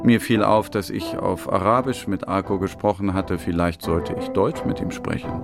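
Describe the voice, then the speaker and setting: Lonely radio drama narrator, low gravelly voice, wistful first-person storytelling, clear music bed. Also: male voice, moderate pace. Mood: wistful, reflective. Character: lonely, melancholic male narrator recalling life with a dog.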